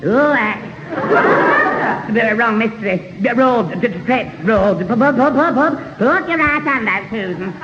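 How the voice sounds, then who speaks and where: An actor playing a dim, eager, flustered young lad who stutters in bursts, in a 1970s radio sitcom. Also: male voice, very high-pitched, lively intonation, measured pace, in a large hall, crowd noise. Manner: stuttering, repeats words in bursts. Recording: dull old radio recording, loud engine noise.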